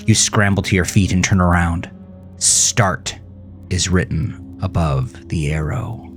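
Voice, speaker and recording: A man reading his own horror story as a dramatic, suspenseful second-person narration with drawn-out emphasis. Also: low voice. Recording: music behind the voice. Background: music.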